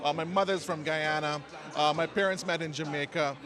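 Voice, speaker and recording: A man's voice: on the high side, steady tone, fairly quick. A man with a Barbadian accent, speaking casually and at length in an interview at a launch event. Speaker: interviewee, media conference producer. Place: outdoors at a busy event. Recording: crowd chatter behind the voice.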